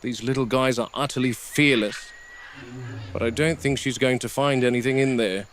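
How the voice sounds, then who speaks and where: A man playing a grave, observant game warden, narrating a scripted field log in a measured, dry, reflective way. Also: mid-range voice, fairly bright tone, a little hiss or hum, close to an everyday voice. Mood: concerned, reflective.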